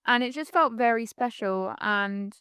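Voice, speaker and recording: A woman's voice: a little high, a little slow, bright, forceful tone, clear, resonant tone, dry recording, studio quality. A woman, talking reflectively as a podcast guest, full of likes and you-knows. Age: 20s. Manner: chatty, reflective, many "like"s and "you know"s. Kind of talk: casual conversational interview.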